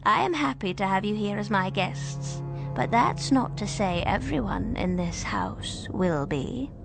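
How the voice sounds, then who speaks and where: A woman playing a quietly menacing old Scottish landlady in a ghost story, speaking in a slow, hushed, ominous way. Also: mid-range voice, lively intonation, creaky, over music. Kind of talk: dramatised ghost story reading.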